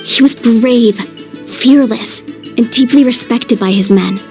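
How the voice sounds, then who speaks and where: A woman's voice: a little high, slow pace, dark tone, faint music behind. A woman voicing a young female warrior in a video game, encouraging, sincere and loyal. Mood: reverent.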